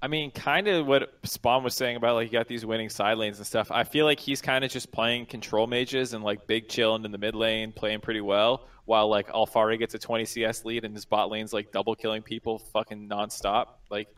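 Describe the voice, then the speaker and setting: Guy on an esports panel, kinda low voice, loose and slangy, swears a bit. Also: steady tone, brisk, hardly any pauses, a bit bright. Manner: loose, slangy, casually profane. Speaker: panelist on an esports talk show. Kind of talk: casual analytical chat.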